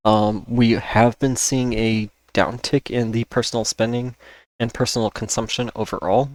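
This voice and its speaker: A man's voice: mid-range voice, dry recording. A man hosting an informal market rundown, explaining and repeating key points, with a voice that is wearing out. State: losing his voice, with occasional coughing. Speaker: host of a stock market analysis channel.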